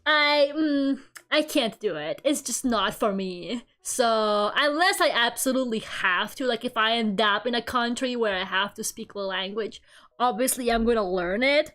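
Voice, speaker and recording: A woman's voice: on the high side, moderate pace, a bit bright. Chatty woman, Russian-British accent. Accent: mixed Russian and British. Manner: chatty, self-deprecating, laughs often, many fillers. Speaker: streamer. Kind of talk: casual chat while drawing.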